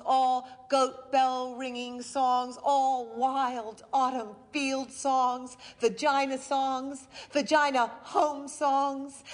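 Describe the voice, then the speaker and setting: A woman performing a stage monologue as a grieving young village woman who survived war, speaking in slow, halting, incantatory lines. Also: high voice, pitched above the performer's own voice. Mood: lyrical.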